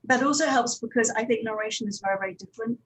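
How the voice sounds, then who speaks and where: High, husky-voiced woman on a panel. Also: very fast, low-quality recording. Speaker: audio drama producer and director on a panel. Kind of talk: informal panel discussion.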